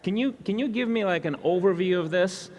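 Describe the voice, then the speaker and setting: A man from Russia, interviewing a fellow minister in a friendly, halting way with many restarts. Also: sits high, a little mellow. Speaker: interviewer, evangelist. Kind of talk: conversational interview.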